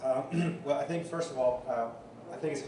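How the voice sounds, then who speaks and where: A man's voice: mid-range voice, moderate pace. A man from Chile speaks up from the audience, hesitant and full of restarts. He is picked up from far off in an echoey hall, with noise underneath. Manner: hesitant, many restarts and fillers. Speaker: audience member.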